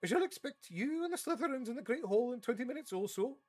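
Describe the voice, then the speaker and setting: Male narrator putting on imperious elderly witch, high voice, clipped commands. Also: animated, quick pace. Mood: stern. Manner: clipped, imperious, shouting orders. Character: stern elderly female witch professor, commanding.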